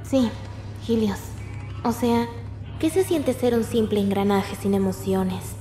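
A woman's voice: mid-range voice, takes it slowly, warm tone, close to an everyday voice. A young woman playing a tough, snarky outlaw in a Latin American Spanish video game fan dub, blunt and sarcastic. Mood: sarcastic, probing. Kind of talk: amateur fandub of a video game. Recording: echoey room with music.

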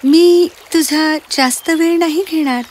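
Woman acting a high-voiced, gentle young elder sister. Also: unhurried, slight background noise. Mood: earnest. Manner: soft-hearted, earnest, apologetic. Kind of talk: children's cartoon voice acting.

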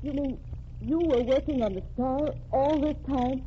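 A bewildered young sweetheart, old radio play. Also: female voice, sits high, unhurried. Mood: pleading. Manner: pleading, bewildered. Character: distraught young woman, newspaper man's sweetheart. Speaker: radio drama actress. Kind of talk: 1940s radio acting. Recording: muffled old radio transcription with hiss.

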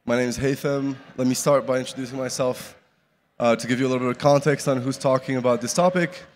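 A man's voice: mid-range voice, steady tone, fast talker. Young man talking in a reverberant hall. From Morocco. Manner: casual, many restarts and fillers. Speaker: conference speaker. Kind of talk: live conference talk with slides. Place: large conference hall with audience. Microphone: podium microphone. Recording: reverberant hall, faint background noise.

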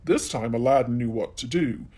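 A man storyteller reading a children's tale aloud in an animated narrating style. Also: mid-range voice, normal speed.

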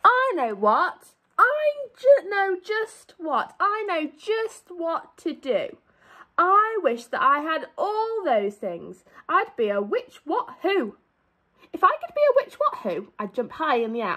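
Young woman, high animated storytime voice. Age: young adult. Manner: expressive, drawn-out emphasis on key words. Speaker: primary school teacher. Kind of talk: children's storybook read-aloud.

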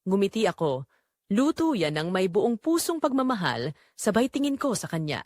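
A woman's voice: mid-range voice, very animated, moderate pace, darkish tone, no room sound, clean studio sound. A woman narrating a romance audio drama as a yearning married mother confessing forbidden attraction. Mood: longing, tense.

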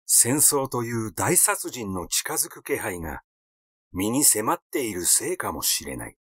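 Man reading a story, lively intonation. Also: medium pitch, measured pace, husky, dry recording, studio quality. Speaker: audiobook narrator. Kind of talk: literary story reading, scripted narration.

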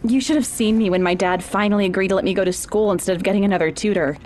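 A young woman playing a sheltered, curious rich teenage girl who speaks softly and hesitantly in an audio drama. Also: medium pitch, rapid-fire, a little hiss or hum, natural voice.

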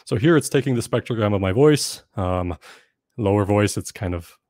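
Young male workshop presenter, lowish voice, laid-back with lots of ums, close dry desk mic. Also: normal speed, no room sound. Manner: relaxed, filler-heavy explaining with frequent "um". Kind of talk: casual online workshop teaching. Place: small room at home. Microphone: desk mic on stand, webcam.

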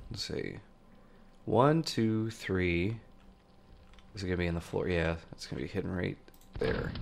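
A man's voice: vocal fry, slight background noise. Stuffy-nosed man talking through a game. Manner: lively greeting, then low muttered thinking aloud while playing. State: stuffy from a cold. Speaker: let's play host. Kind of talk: gameplay commentary. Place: home room with shelves of plush toys, indoors. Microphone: large studio mic on a boom arm.

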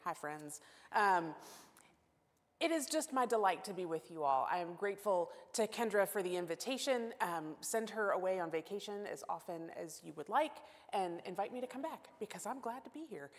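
A woman's voice: medium pitch, moderate pace. A woman in her forties preaching as guest pastor at a church pulpit, talking expressively and gesturing. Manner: warm expressive, gestures while speaking. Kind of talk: sermon and scripture reading. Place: church sanctuary at wooden pulpit. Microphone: gooseneck pulpit mic. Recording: long hall reverb.

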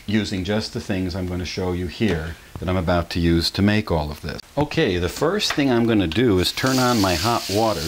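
Middle-aged man, low voice, folksy explainer, home kitchen, camera mic, faint background noise. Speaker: channel host. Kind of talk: casual kitchen demonstration.

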